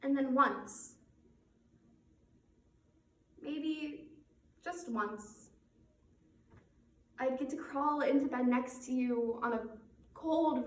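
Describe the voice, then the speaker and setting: A woman's voice: sits high, a bit bright. A woman performing a dramatic stage monologue as a resentful, hurt teenage daughter, with aching, emphatic phrasing. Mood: aching, longing. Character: resentful, wounded teenage daughter confronting her mother. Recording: roomy echo, distant microphone.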